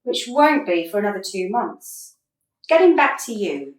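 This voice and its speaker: Radio comedy: exasperated but patient female football coach played by a woman, articulate, swooping pitch, echoey room. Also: very animated, measured pace. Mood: dry, reproving. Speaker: actor in a radio play. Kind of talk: radio comedy drama acting. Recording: roomy echo, distant from mic.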